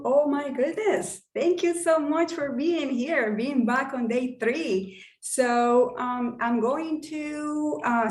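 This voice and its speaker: A woman's voice: fairly high pitch, echoey room. A woman with a Spanish accent hosting an online workshop, chatty and enthusiastic with lots of fillers. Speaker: workshop host and coach.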